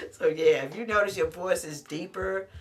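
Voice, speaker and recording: A middle-aged woman with a deep voice, vlogging to camera in a chatty, animated way and calling the viewer honey. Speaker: lifestyle vlogger. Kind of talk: casual talk to camera.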